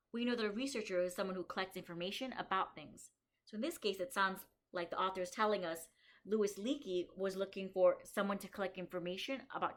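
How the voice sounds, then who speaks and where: A woman's voice: medium pitch, a bit bright. A kindergarten teacher, a woman, reading a picture book aloud to young children and stopping to think aloud and explain what the author and illustrator show. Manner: slows down and stresses key story lines.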